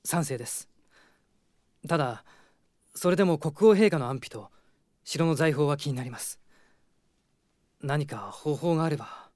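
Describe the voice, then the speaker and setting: An actor playing a gentle, idealistic young prince who speaks politely and thoughtfully in a radio drama. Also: male voice, on the high side, measured pace, pauses often, dry recording, studio quality, natural voice. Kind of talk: Japanese radio drama acting.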